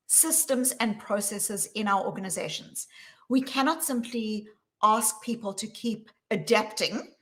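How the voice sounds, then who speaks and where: A woman with a South African accent, answering interview questions in an earnest, measured way. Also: medium pitch, fairly bright tone. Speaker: guest, psychologist.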